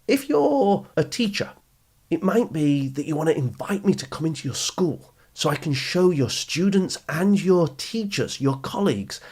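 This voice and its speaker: Husky, higher-pitched man hosting a podcast. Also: darkish tone. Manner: encouraging, instructional, emphatic phrasing. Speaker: podcast host and education speaker. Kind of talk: scripted podcast narration, addressing listeners directly.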